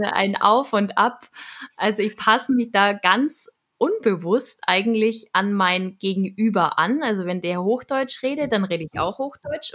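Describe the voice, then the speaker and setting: A woman from Munich with a Bavarian accent, a trained radio and voice speaker, chatting casually and laughing often. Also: medium pitch. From Munich, Germany. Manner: lively, laughs often while telling stories. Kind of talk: casual conversation.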